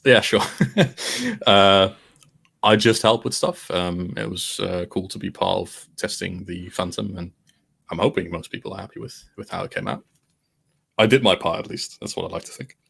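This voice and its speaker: A man chatting as a podcast guest, laid-back and self-deprecating, often trailing off quietly. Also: sits low, animated, moderate pace, creaky, husky. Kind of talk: casual chat.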